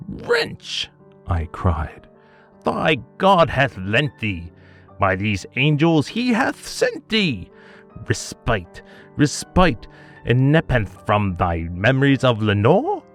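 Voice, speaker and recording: A man voice actor giving a theatrical poetry reading, swinging between hushed murmurs and anguished cries. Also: medium pitch, huge pitch swings, takes it slowly, faint music behind. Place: home studio.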